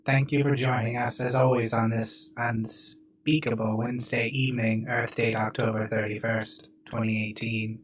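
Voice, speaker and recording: A man's voice: medium pitch, level tone, normal speed, few pauses, slightly rough, poor audio. A man hosting a podcast, chatting casually and making self-deprecating jokes with his co-host. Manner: self-deprecating, joking banter. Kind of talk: casual chat.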